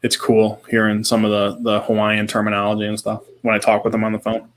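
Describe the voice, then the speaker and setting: Low-pitched man in casual podcast chat. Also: monotone, fast, slight background noise. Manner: laid-back, slangy, repeats short reactions. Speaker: podcast co-host. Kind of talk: casual chat, reading viewer comments.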